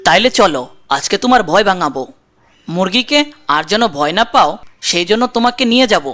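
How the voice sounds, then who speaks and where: A woman voicing a caring young guardian in a children's cartoon, coaxing and gently teasing, with a Bangladeshi accent. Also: medium pitch, animated, fairly quick, lightly acted.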